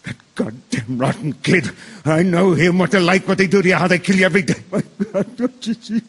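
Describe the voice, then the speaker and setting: An actor playing an angry, stubborn juror and bitter father in a radio drama, combative and emphatic, with a husky voice. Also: high voice, brisk, a bit bright. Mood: anguished. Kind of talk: stage-style radio drama acting.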